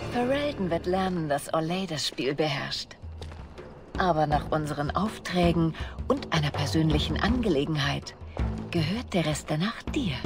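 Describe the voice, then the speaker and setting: Low-voiced, seductive spy. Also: female voice. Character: seductive, confident female bard spy, playful and scheming. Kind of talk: video game voice acting.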